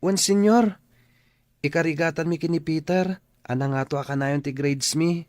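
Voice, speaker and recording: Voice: mid-range voice, moderate pace, darkish tone, no room sound, natural voice. An actor playing a polite, eager schoolboy in an Ilocano radio drama, speaking respectfully and emphatically. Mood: earnest, grateful. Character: polite, earnest, grateful schoolboy.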